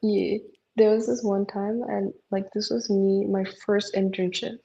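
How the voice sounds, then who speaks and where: A woman's voice: medium pitch, level tone, unhurried, gravelly, dark tone, slightly rough, muffled recording. A young woman who grew up speaking Indonesian, venting in English in a casual podcast chat, rambling and swearing with lots of filler words. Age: young adult. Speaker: podcast co-host.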